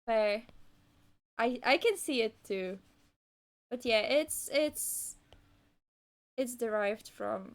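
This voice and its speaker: Slow-talking young woman, Polish native speaker. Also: fairly high pitch. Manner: meandering, hesitant, frequent restarts and fillers. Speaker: art streamer. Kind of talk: casual livestream chat while drawing.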